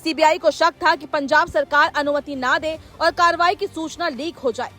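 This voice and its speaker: A woman's voice: sits high, steady tone, normal speed, bright, forceful tone. A woman news presenter delivering a scripted news report as narration. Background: background music.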